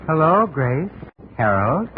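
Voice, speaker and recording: A tinny old radio drama recording with faint noise carries an evasive fugitive. His voice sits fairly high for a man, and he speaks casually, with a wry, resigned hesitancy. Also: sing-song, slow pace. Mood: wryly insistent. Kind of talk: 1950s radio drama acting.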